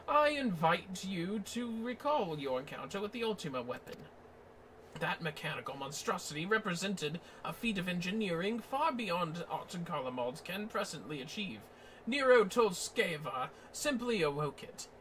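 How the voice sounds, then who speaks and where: A man voicing a learned, formal expedition leader from a fantasy game, with pompous, deliberate, ornate delivery. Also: high voice, fairly bright tone, a little hiss or hum, poor audio. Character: learned, formal archaeologist leading an expedition, grandly wordy. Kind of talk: dramatic reading of fantasy game dialogue.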